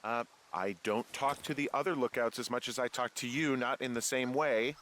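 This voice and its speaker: Man playing male fire lookout, video game drama, sardonic, gruffly irritable, sweary, natural delivery, medium pitch. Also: fairly bright tone, a little hiss or hum, lightly acted. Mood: uneasy, puzzled. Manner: dry, sarcastic, halting, swears freely.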